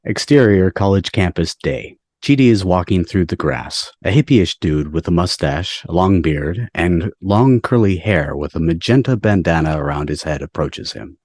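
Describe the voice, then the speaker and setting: A man's voice: sits low, animated, moderate pace, few pauses, darkish tone, no room sound. A man giving scripted narration of screenplay stage directions on a video call.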